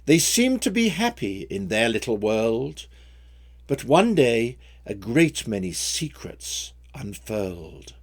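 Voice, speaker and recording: Slow, expressive man reciting story poems. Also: mid-range voice. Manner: measured, sing-song metrical phrasing. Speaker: poet reading own poems. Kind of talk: rhymed storytelling poetry recitation.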